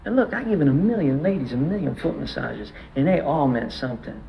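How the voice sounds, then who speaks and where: An actor playing a streetwise gangster in a film, arguing a point in casual, profane banter over some background ambience. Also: male voice, on the high side, animated, brisk, gravelly, lo-fi sound, slight background noise. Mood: insistent, casual.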